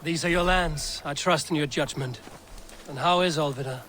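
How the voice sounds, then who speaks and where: A man acts out a steady, practical Viking war leader from a medieval video game saga. The voice sits a little high for a male, with measured, formal, archaic phrasing over a slightly noisy backdrop. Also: natural voice. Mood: wary, measured. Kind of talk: dramatic video game cutscene acting.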